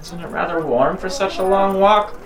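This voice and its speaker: A woman playing an idle, teasing young gentleman in a period audio drama, languid, wry and mock-courteous. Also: medium pitch, moderate pace, close to an everyday voice. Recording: roomy echo, faint background noise.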